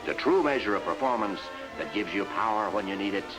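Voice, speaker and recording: Guy doing a brisk salesy 1950s training film mentor, bossy and instructive, old film sound with music under it. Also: lowish voice, lively intonation, close to an everyday voice, low-quality recording. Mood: patient, emphatic. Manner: instructive, emphatic, salesmanlike delivery.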